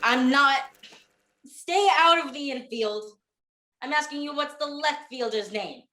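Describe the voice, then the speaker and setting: A woman's voice: sits high, a little slow, bright, forceful tone, echoey room. A woman playing an exasperated, bewildered comic sidekick in a 1940s radio comedy routine, stammering and insistent in her questions. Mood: flustered, exasperated.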